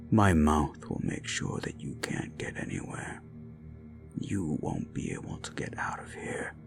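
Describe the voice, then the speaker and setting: Cruel slasher killer, super low gravelly half-whisper, slow n taunting, horror roleplay w music behind. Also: male voice, heavily acted. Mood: cold, mocking.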